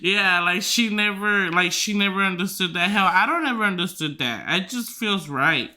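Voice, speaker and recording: Loud guy podcast host, super bright tone, swears a lot, rambles with like like like. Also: high-pitched, normal speed. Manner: loud, swears freely, filler-heavy rambling. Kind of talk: casual storytelling chat.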